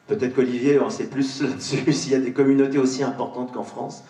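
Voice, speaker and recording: A man's voice: medium pitch, fairly even intonation, a little fast, mellow. Male panellist, tentative casual delivery, slightly off-mic in a reverberant hall. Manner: tentative, hesitant. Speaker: voice actor on a panel. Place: large cinema auditorium. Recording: echoey hall, distant mic.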